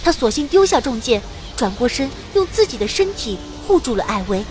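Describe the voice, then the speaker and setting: A woman's voice: fairly high pitch, big pitch swings, fairly quick, music in the background. A woman playing a perky, self-admiring young magician who theatrically narrates an adventure in a fantasy audio drama. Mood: dramatic. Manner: theatrical, playful storytelling.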